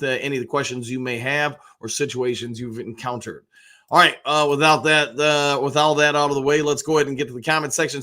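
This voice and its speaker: Male American sports show host, medium pitch, mildly hoarse, casual conversational commentary that wanders as he goes. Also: bright, forceful tone. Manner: chatty, rambling, conversational. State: slightly hoarse. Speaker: sports show host, basketball coach and realtor.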